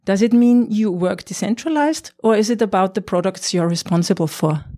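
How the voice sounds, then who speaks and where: A woman's voice: mid-range voice, moderate pace, mellow, no room sound, studio quality. A woman hosting an interview podcast, presenting introductions and asking questions in a prepared, orderly way.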